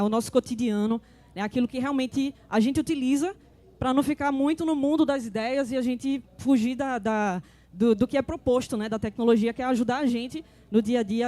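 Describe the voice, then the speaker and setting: A woman moderating a live panel on a conference stage, talking in a halting way with many fillers and restarts. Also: on the high side, a little mellow. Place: conference stage before an audience.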